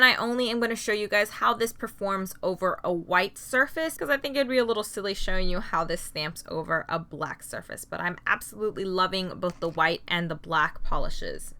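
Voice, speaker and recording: A woman's voice: medium pitch, bright, forceful tone. A young woman vlogger giving an upbeat, detailed product demo and review, often saying "like". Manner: upbeat, descriptive, says "like" often. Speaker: beauty vlogger.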